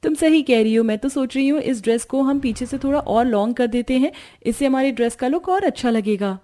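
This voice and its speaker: Woman as friendly young daughter-in-law, mellow voice. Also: high voice, brisk, natural voice. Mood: eager. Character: cheerful, industrious young daughter-in-law who sews leaf clothes. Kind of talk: Hindi cartoon story dialogue.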